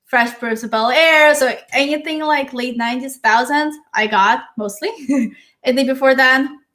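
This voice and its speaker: A woman's voice: sits high, moderate pace, pushed and bright. A woman in her thirties from Ukraine, a marketing guest on a podcast who chats casually and laughs often. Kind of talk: casual interview chat.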